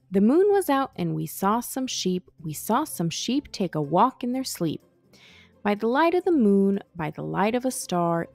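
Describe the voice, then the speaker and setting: A woman's voice: mid-range voice, a little mellow, dry recording. Woman reading a rhyming children's storybook. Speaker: children's book reader. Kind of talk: expressive read-aloud of a rhyming storybook. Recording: music behind the voice. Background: background music.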